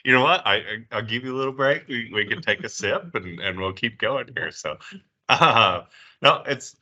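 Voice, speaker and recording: A man's voice: medium pitch, lively intonation, normal speed, bright, forceful tone. A man hosting a casual interview, asking loose, friendly, rambling questions. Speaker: host. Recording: slightly dull lo-fi audio.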